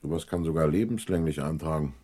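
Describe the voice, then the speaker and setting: An actor playing a methodical police inspector, courteous but stern and probing, in a vintage German radio crime play. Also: male voice, very deep voice, warm tone, husky. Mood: stern, insinuating. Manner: measured, official, probing.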